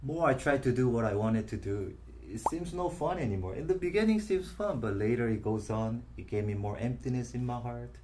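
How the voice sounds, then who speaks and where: A man with a Korean accent, a native Korean speaker, giving an earnest, halting testimony as a talk show guest. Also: medium pitch, animated, background noise. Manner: halting, earnest, filler-heavy. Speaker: guest, former missionary. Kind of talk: interview testimony.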